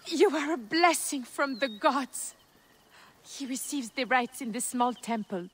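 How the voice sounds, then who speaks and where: A woman's voice: sits high, animated, measured pace, slight background noise. An actress playing a grieving, stubborn young farm woman who speaks in a guarded, resolute way.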